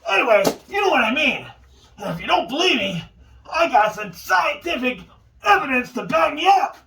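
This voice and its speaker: A man with an extremely high, bright voice presents an upbeat how-to lesson. His delivery swings widely in pitch and leans goofy, with exaggerated exclamations and asides. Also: big pitch swings, normal speed, vocal fry, distant mic. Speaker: instructor.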